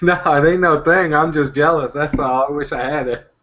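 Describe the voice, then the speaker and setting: A man's voice: on the high side, moderate pace, fairly bright tone, highs cut off. A man co-hosting a casual live show, chatty and rambling, with lots of fillers as he recalls details.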